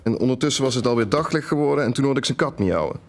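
Creaky, animated young slacker played by an actor. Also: male voice, medium pitch, rapid-fire, background noise, lightly acted. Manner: slangy, drops English phrases. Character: slangy, gossipy young male housemate, a night owl. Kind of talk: audio drama dialogue.

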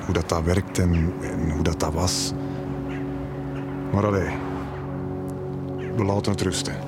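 A man's voice: low-pitched, steady tone, unhurried, loud music behind the voice. An actor playing a menacing, grudging Flemish man, a bereaved brother, in an audio drama. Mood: grudging, subdued.

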